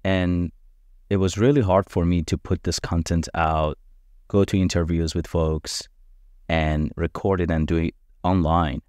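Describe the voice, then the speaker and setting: Man, first language Farsi, very low voice, earnest and measured, dry close sound. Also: level tone, a little mellow, clear, resonant tone, no echo. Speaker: podcast host. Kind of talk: scripted podcast introduction.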